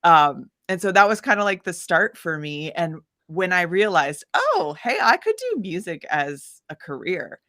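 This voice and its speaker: Woman, lowish voice, casual interview guest, animated, informal, dry close recording. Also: unhurried, few pauses, no echo. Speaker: guest musician, singer-songwriter. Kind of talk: casual chatty interview storytelling.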